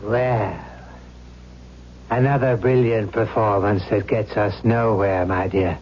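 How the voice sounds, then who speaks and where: Slow, smug scheming villain. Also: male voice, fairly low pitch, steady tone, darkish tone. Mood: sardonic. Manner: sardonic, deliberate. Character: smug, scheming devilish villain, sardonic and patient. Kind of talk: old-time radio drama acting. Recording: muffled, hissy old radio drama recording.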